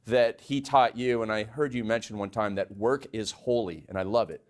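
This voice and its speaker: Give me a man from Canada on a studio podcast, relaxed and thoughtful, often restarting mid-sentence. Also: fairly low pitch, normal speed. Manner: relaxed, reflective, with frequent restarts. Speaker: podcast co-host. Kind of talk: casual podcast conversation.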